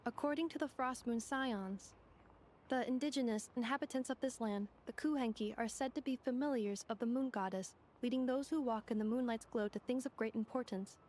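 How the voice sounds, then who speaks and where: A woman voicing a polite, precise female robot guide in a video game, speaking in a formal, measured, analytical manner. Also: fairly high pitch, a little mellow, slight background noise, lightly acted. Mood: calmly informative. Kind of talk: video game character dialogue. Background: game music.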